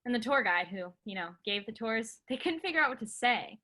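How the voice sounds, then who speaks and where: Bright, excitable young woman played by an actress. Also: a little high, fairly quick. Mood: excited, breezy. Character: bold, excitable young woman, amateur urban matador. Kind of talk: staged play reading, conversational.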